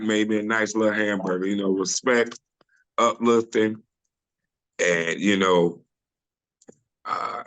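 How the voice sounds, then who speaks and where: A middle-aged man with a deep voice, a comedian guest talking casually and loosely in an interview over a video call, full of "you know" and restarts. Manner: relaxed storyteller, many restarts and "you know".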